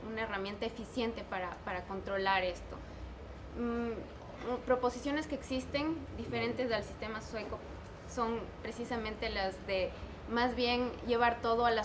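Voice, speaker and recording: A woman speaking as a panelist in a discussion, measured and hesitant. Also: fairly high pitch. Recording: noisy room recording.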